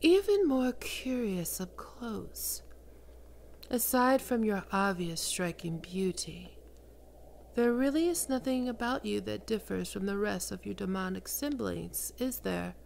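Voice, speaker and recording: A woman voicing a seductive, imperious female demon queen, speaking slowly in sultry whispers. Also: medium pitch, expressive, creaky, darkish tone, slight background noise. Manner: slow, sultry, domineering, often whispering. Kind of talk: ASMR roleplay audio drama.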